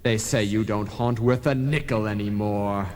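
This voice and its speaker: A man's voice: on the low side, steady tone, normal speed, a little mellow, close to an everyday voice. A man playing an officious, preachy ghost messenger in an old-time radio play, theatrical and formal. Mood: ominous warning. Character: officious, preachy male ghost messenger, cautioning his brother. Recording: long reverb, analogue radio broadcast tape.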